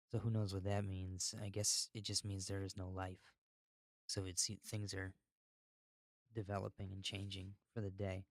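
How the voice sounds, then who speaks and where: A man's voice: lowish voice, vocal fry, no echo, clean studio sound. A man hosting a podcast in a casual, rambling monologue, informal and given to ranting and swearing. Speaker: podcast host.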